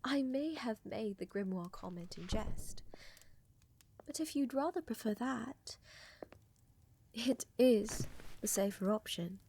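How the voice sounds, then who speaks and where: Lady doing a flirty vampire countess for asmr roleplay, breathy n whispery, slow teasing soft domme, kinda high voice. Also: slight background noise. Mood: concerned, serious. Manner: slow, teasing, coaxing, formal wording. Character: flirtatious, gently dominant vampire countess, tender lover. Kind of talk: intimate ASMR roleplay acting.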